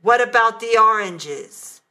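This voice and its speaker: A bossy, scornful husband barks orders in a bright, slow voice, as a woman reads him in a storybook for learners. He is selfish and demanding, with no kindness in his tone. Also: mid-range voice, animated. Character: grumpy, selfish middle-aged husband, demanding.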